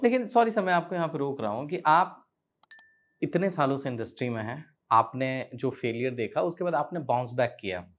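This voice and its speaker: A man's voice: mid-range voice, animated, moderate pace, a bit bright. A man hosting a podcast, interviewing in a conversational style.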